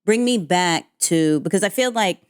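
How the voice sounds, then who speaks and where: A woman hosting a podcast interview in a chatty, lively way, often repeating herself. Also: lowish voice, normal speed, clean tone, no echo, studio quality. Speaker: podcast host. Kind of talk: casual interview.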